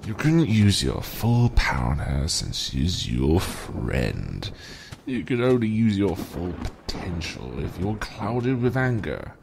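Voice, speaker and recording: An actor playing a scheming male villain master who gloats slowly over his enemies. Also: sits low, big pitch swings, gravelly, noisy background, heavily acted. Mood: scornful. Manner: gloating, drawn-out. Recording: music score behind.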